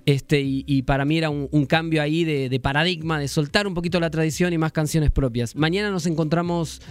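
A man's voice: a little high, fairly even intonation, a little fast, clear, resonant tone, no room sound. A man from Argentina, a musician guest on a radio show, talking reflectively and searching for words as he goes. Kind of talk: radio interview.

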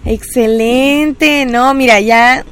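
A woman hosts a relaxed radio interview, talking in a chatty, encouraging way and filling her pauses with little sounds. Her voice sits a bit high, and she speaks into a studio mic. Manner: chatty, encouraging, many filler words. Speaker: radio and social media host. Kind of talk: casual interview.

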